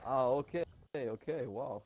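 A man's voice: medium pitch, unhurried, low-quality recording. Agreeable man on game voice chat. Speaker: teammate on voice chat. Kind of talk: casual gaming chat.

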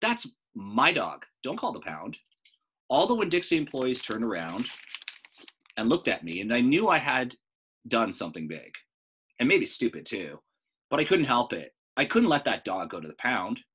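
A man in his thirties reading a children's book aloud as a storyteller, in an animated style. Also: medium pitch, moderate pace, husky.

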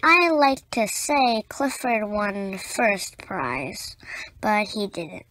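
A woman reading a children's picture book aloud as a storyteller, in deliberate, spaced-out phrases with short asides to young listeners. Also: medium pitch, very animated, pushed and bright, a little hiss or hum, rough recording.

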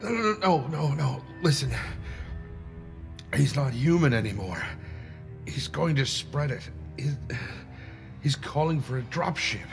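A rattled survivor in a sci-fi video game. He talks slowly and haltingly in a creaky, worn voice, with faint music behind him. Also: a little high, animated. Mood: pleading. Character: weary, rattled male survivor, marine's friend.

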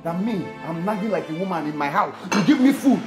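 A man's voice: high-pitched, animated, fast. A man playing a husband who grumbles about his uncovered food, stammering and repeating himself when upset. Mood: indignant. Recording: roomy echo, faint music.

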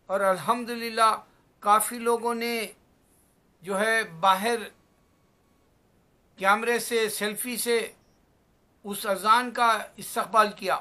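An older man in his sixties with a very high, bright, forceful voice. He speaks slowly in measured phrases, exhorting his listeners informally but emphatically, close to a webcam in a small room at home. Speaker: religious vlogger. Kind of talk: informal religious talk to camera. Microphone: webcam or phone at arm's length.

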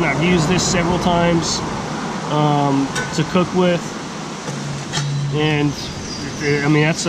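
A middle-aged man giving a casual, plain-spoken outdoor gear walkthrough in a forest by a stream, speaking slowly with halting pauses. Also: on the high side. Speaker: hiking vlogger. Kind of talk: casual gear show-and-tell. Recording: steady outdoor background hiss.

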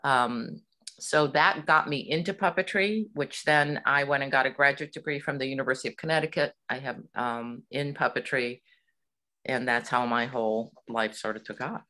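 Guest: middle-aged woman from Hawaii, bright tone, lively and chatty. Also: a bass voice, moderate pace. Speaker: puppeteer guest. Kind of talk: casual interview chat.

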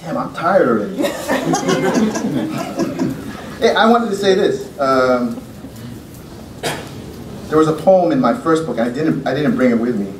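A man's voice: a little high, animated, slow, long pauses. A middle-aged man, a poet, reading his poems at a lectern in a lecture room, with loose, joking, profane asides between them. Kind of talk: poetry reading with casual asides. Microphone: podium mic from a far camera. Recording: roomy, distant, noisy room sound.

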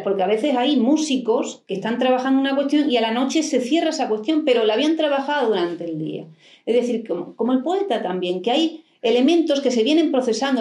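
A woman's voice: a little high, mellow. Middle-aged woman lecturing in an echoey hall. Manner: explanatory, rhetorical questions. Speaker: psychoanalyst lecturer. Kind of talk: lecture with audience questions. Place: library hall with a desk and plants. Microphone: handheld mic.

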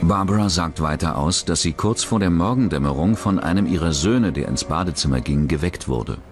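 A man narrates a true-crime documentary in a very low voice. His intonation moves widely up and down, and music plays clearly underneath him. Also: expressive, a little fast. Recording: dramatic music bed under the voice. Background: background music.

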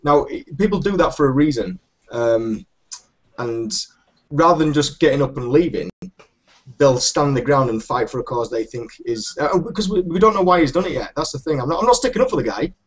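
A man's voice: fairly high pitch, expressive, brisk. A man on a video call arguing earnestly, restarting sentences to clarify his point. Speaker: hangout participant. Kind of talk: casual debate.

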